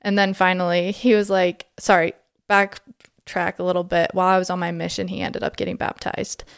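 Young woman, twenties, podcast guest; smooth, dry-sounding voice, chatty storyteller. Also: fairly low pitch, little pitch movement, normal speed, no echo. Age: 20s. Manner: chatty, lots of "like" fillers. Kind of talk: casual storytelling.

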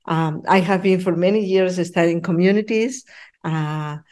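A mature woman with a Peruvian Spanish accent, speaking as a professor in an interview in earnest, halting phrases. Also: lowish voice, steady tone, darkish tone. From Peru. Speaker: professor, podcast guest. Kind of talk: interview answer.

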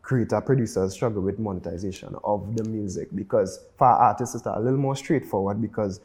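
A man's voice: on the low side, brisk, darkish tone. A man with a Trinidadian accent, talking casually and conversationally as a guest music producer in an interview.

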